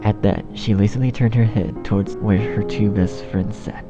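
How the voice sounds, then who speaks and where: A man's voice: on the low side, steady tone, moderate pace. A man reading scripted story narration as the narrator. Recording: dull, muffled sound under music. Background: music.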